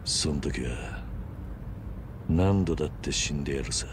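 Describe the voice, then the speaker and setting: An actor playing a stoic, tough middle-aged former yakuza in a video game, speaking gruffly and tersely without getting rattled. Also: male voice, very deep voice, a little slow, noisy background. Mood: grave, low. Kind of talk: video game cutscene acting.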